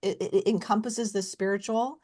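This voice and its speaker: A woman co-hosting a podcast who speaks slowly and thoughtfully, searching for words. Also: medium pitch, steady tone, warm tone. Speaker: podcast co-host. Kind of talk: casual podcast chat.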